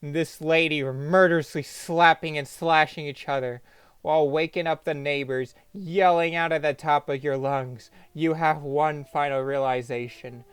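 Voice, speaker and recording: A man's voice: on the high side, steady tone, moderate pace, clear, resonant tone, no echo. A man narrating a dramatized comic story in a theatrical, mock-epic manner. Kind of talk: dramatized comedic story narration in second person.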